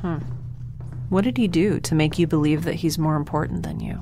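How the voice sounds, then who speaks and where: A woman's voice: low-pitched, brisk, creaky, background noise. A woman playing a dry, sarcastic young scientist. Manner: dry, sarcastic, quietly blunt. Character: sardonic, blunt young woman scientist held captive.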